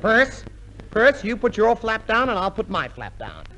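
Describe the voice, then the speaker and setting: A man playing a hapless, excitable, melodramatic schemer in a 1950s radio comedy. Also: high-pitched, moderate pace. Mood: exasperated. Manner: exasperated, melodramatic. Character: Jack, hapless excitable schemer turned amateur pilot. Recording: noisy old 1950s radio recording.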